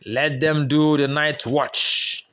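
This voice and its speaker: Easygoing village husband, slow and joking, Pidgin turns of phrase, for a folktale, played by a male narrator. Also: on the high side, level tone, a bit bright, smooth. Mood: amused.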